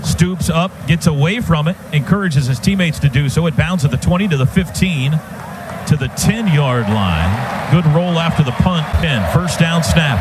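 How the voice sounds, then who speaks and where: A man's voice: on the high side, animated, normal speed. A man with an American accent calling live football on the radio in clipped, staccato phrases. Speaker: play-by-play sports announcer. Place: stadium radio booth. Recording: noisy live stadium radio broadcast.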